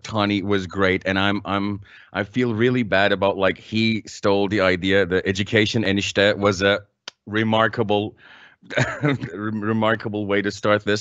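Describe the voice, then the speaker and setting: A man with a low voice gives a casual guest talk to teachers over a video call. He fills his phrasing with fillers and restarts. The sound is dry and dull, with no room in it. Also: a bit bright, no room sound. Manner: casual, loose phrasing with fillers and repeated words. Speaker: guest speaker, teacher trainer. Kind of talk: informal conference talk.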